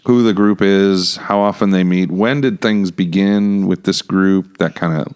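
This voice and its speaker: A man's voice: low-pitched, steady tone, unhurried, darkish tone, smooth. A man with an American accent hosting a casual podcast conversation in an informal, conversational way. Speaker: podcast host, pastor.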